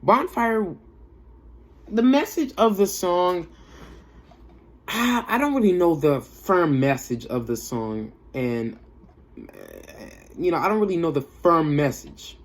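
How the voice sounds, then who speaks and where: A man's voice: sits high, sing-song, takes it slowly, pauses often. Young man reviewing music, slangy and emphatic. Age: young adult. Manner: slangy, emphatic, repeats himself. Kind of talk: casual off-the-cuff album review.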